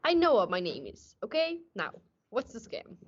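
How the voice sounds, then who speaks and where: A woman's voice: on the high side, very animated, normal speed. A woman playing a weary, hard-boiled male police detective, narrating in tough, slangy radio noir style. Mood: irritated. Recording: muffled, noisy amateur stage recording.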